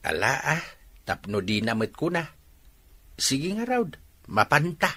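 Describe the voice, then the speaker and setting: A man's voice: mid-range voice, huge pitch swings, unhurried, sharp tone, husky, full character voice. A man acting a wary, reluctant older village father with an Ilocano Filipino accent in a radio drama.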